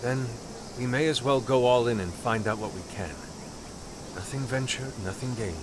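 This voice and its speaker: A man playing a composed, thoughtful young man in a game dub, speaking in a measured, formal way. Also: medium pitch, background noise, lightly acted. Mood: grave, pensive. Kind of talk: English game dub acting.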